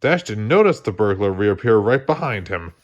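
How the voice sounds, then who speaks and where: A man narrating a children's story aloud in an expressive read-along style. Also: fairly low pitch, moderate pace, highs cut off. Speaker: storybook reader.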